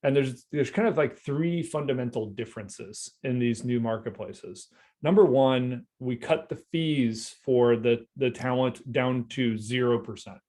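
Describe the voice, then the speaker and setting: A man's voice: medium pitch, a little slow, darkish tone. A man presenting an online company update, explaining at length and often repeating words as he thinks. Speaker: co-founder presenting.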